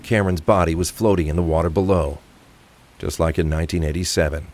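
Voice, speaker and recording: Deep-voiced male crime narrator. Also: steady tone, fast, darkish tone. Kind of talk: scripted crime drama narration.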